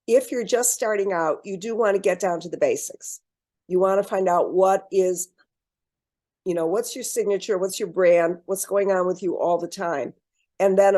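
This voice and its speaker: Mellow-voiced woman leading an online workshop. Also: medium pitch. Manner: measured, encouraging, with frequent ums and restarts. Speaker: program presenter. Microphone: video call.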